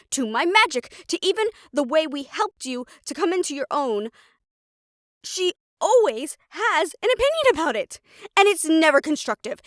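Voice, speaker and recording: A woman playing an overworked, sharp-tongued but caring siren-banshee sorceress, venting emotionally in a dramatic audio roleplay. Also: high-pitched, no echo, clean studio sound. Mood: angry, sarcastic. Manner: emotional venting, sarcastic and sweary, with heavy sighs.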